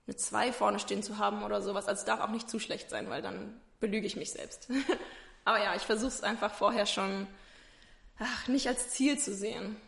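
A woman's voice: mid-range voice, fairly even intonation, normal speed, vocal fry, a bit bright. A woman in her twenties talking about her own life in an interview, hesitant and searching for words, with many fillers. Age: 20s. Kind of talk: personal conversation.

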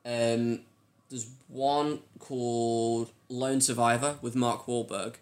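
A man's voice: medium pitch, little pitch movement, slow pace. Casual, tentative male podcast co-host. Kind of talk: casual pop culture chat.

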